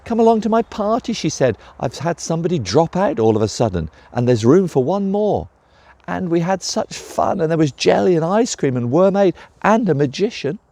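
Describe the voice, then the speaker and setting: Excited female dragon voiced by a man, gushing, higher pitch, mellow dry tone. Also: normal speed, no room sound. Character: enthusiastic young female dragon sister.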